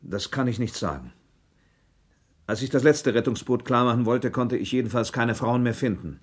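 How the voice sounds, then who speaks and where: Male ship's officer on the witness stand played by an actor, radio play, reserved and defensive, medium-pitched husky voice, terse answers. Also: moderate pace, close to an everyday voice. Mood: restrained, defensive. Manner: clipped, deferential, matter-of-fact. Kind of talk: German documentary radio drama, terse testimony.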